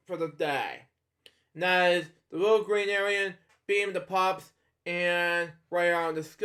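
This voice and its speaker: High-voiced man reading a storybook haltingly. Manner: halting, with frequent restarts and filler comments. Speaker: host reading a children's book aloud. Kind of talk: storybook reading with rambling asides.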